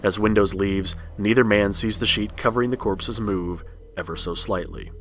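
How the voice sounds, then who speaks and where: A man with a low voice reads a scripted horror read-along story as its narrator. Music plays lightly behind him. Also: steady tone, moderate pace, fairly bright tone.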